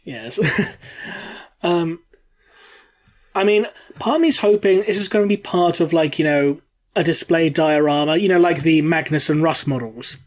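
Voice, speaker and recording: A man's voice: a little high, a little slow. A man hosting a casual podcast, a bit bunged up from a cold, joking and laughing often. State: congested from a cold. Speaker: podcast host. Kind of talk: casual chat.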